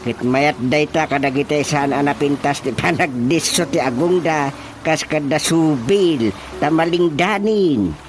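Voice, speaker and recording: A man's voice: a little high, unhurried, faint music behind, close to an everyday voice. A man acting a teasing, bumbling village official in an Ilocano radio comedy, stammering and exclaiming.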